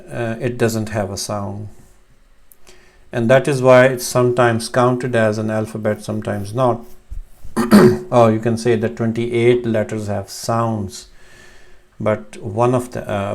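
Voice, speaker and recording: Gravelly low-voiced man teaching letters. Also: a little mellow, slight background noise. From Pakistan. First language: Urdu. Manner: hesitant, many fillers and restarts. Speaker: Arabic teacher. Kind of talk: screen-share lesson. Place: small room at home, indoors.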